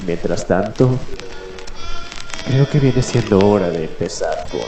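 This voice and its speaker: A man hosting a late-night mystery radio show, speaking in dramatic, deliberate phrases with pauses between them. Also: lowish voice, animated. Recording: echoey voice over background music. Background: music.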